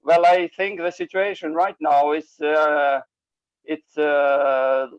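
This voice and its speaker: Guy talking slowly on a video call, halting english, kinda high voice, dull sound. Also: level tone, smooth, dry recording. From Albanian Icelandic, based in Norway. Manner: halting, broken phrasing in non-native English. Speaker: participant in a group coaching call. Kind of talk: hesitant, unscripted opinion sharing.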